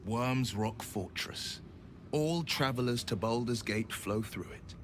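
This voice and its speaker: An actor playing a noble, resolute young hero who speaks in an earnest, rousing way. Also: male voice, medium pitch, takes it slowly, a little hiss or hum, natural voice. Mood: reflective. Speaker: game companion. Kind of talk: video game dialogue.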